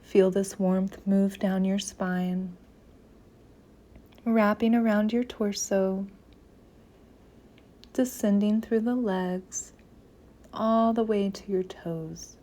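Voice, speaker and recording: A woman leading a guided meditation in a soft, gentle, soothing voice, with phrases often trailing into near-whispers. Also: medium pitch, fairly even intonation, takes it slowly, pauses often.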